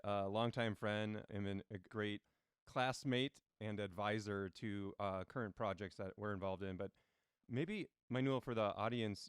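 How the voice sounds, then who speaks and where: A man in his forties hosting an interview, asking a longtime friend questions in a warm, slightly rambling way. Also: lowish voice, clear, resonant tone, dry recording, clean studio sound. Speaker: podcast co-host, interviewer.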